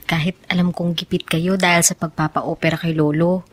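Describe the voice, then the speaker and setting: An actress playing a polite, troubled young student niece in a Filipino radio drama. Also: female voice, lowish voice, little pitch movement, normal speed, dry recording, lightly acted. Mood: apologetic. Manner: polite, apologetic.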